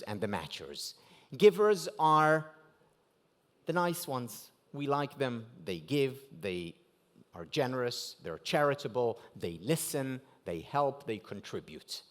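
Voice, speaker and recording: Animated Israeli man giving a keynote. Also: medium pitch. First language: Hebrew. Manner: rhetorical questions with strong rises and drops for emphasis. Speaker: keynote speaker. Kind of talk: motivational keynote lecture. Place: banquet hall at a gala dinner.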